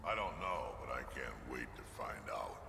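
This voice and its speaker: A gruff old alien warrior in a video game, speaks in a rock-bottom, creaky voice. He grumbles with dry wit, and clear game noise sits behind him. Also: animated, moderate pace, bright, forceful tone, heavily acted. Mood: grim, dry. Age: older. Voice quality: deep, gravelly. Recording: game sound effects behind the voice.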